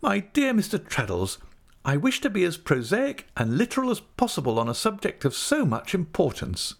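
A man's voice: high voice, wildly swooping pitch, normal speed, creaky, voice pushed up, exaggerated voice. A man voicing a self-important, doting middle-aged wife who makes earnest, businesslike pronouncements in an audiobook. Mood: affable, businesslike. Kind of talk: audiobook character acting.

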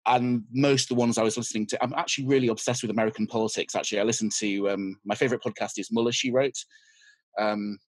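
A man's voice: on the low side, fairly even intonation, fast talker, no echo. A man, a teacher, chatting as a podcast guest in a wry, self-deprecating way. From United Kingdom. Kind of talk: casual interview chat.

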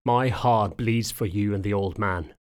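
A man's voice: medium pitch, normal speed, natural voice. A man voicing a fierce, hot-tempered young male canine character in an audiobook, snarling and indignant. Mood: bitter, outraged.

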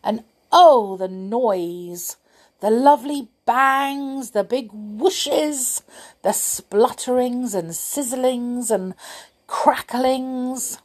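A woman's voice: on the high side, very slow, pitched above the performer's own voice. A woman voicing Tippy, a little storybook villager who gushes gleefully. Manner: excited, gleeful. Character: little village folk, excited and eager.

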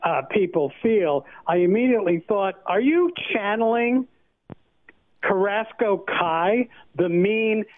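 A man phones in to a radio show on a tinny, narrow line with no room sound. His voice is fairly high. He chats casually, with a wry, teasing manner. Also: animated, takes it slowly, no room sound. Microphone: telephone.

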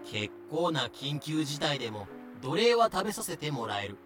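A man's voice: fairly high pitch, sing-song, normal speed, fairly bright tone. A man reading scripted explanatory narration in a wry, matter-of-fact way. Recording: music behind the voice.

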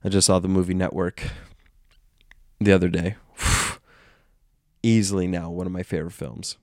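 A man's voice: low-pitched, little pitch movement, moderate pace, darkish tone, no room sound, clean studio sound. A man hosting a casual solo podcast, congested and nasal from illness, rambling and self-aware. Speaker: podcast host.

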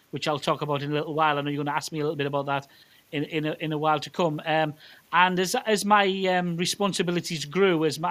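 A man's voice: fairly high pitch, fairly bright tone. A middle-aged man with a County Durham accent, a minister giving informal, chatty and somewhat hesitant answers in an interview. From South County Durham, northeast England. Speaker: Methodist minister.

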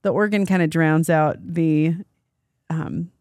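A woman's voice: deep voice, fairly even intonation, slow pace, darkish tone, dry recording, clean studio sound. A woman co-hosting a casual church podcast, playful and teasing, with lots of short agreeing replies. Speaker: podcast co-host.

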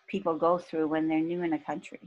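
A woman in her fifties, from Pakistan, talking thoughtfully as a podcast guest with soft laughs. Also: deep voice, level tone, a little fast, muffled recording. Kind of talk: reflective interview talk.

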